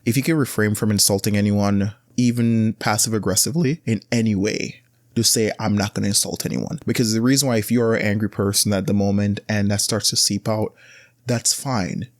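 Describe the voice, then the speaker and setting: A man holds forth on his solo podcast, his fairly low voice rambling through blunt advice. When he wants to stress a point, he jumps higher and louder. The sound is dry, with no room around it. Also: fairly even intonation, brisk, dry recording. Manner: rambling, with frequent restarts and filler words. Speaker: podcast host. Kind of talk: casual solo podcast monologue.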